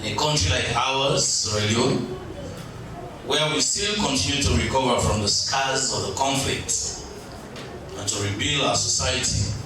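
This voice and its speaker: A man with an ordinary mid-pitched voice reads an earnest, prepared speech. He is miked from afar in a very echoey hall, with a crowd audible behind him. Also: measured pace, distant mic. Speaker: NGO representative giving a speech. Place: conference hall.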